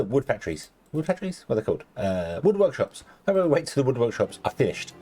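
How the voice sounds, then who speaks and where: A man commentating his gameplay casually, rambling with lots of ums and restarts, and a little croaky as he loses his voice. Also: mid-range voice, very animated, fast, dark tone. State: slightly croaky, losing his voice. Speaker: let's play host.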